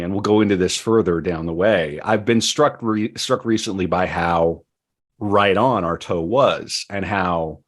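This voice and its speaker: Male podcast host, lower register, wry and joking, conversational with stop-start pauses. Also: a bit bright. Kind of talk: casual podcast talk.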